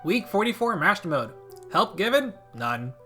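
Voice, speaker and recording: Gaming commentator, scripted narration, very lively intonation, wry playful asides, music behind. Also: mid-range voice, normal speed. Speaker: video game commentator.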